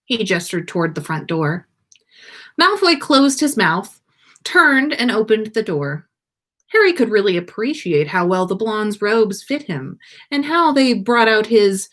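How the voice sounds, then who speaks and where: A woman reading fan fiction aloud as a podcast host, in an expressive narrating style. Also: mid-range voice, a little slow. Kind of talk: expressive story narration on a livestream.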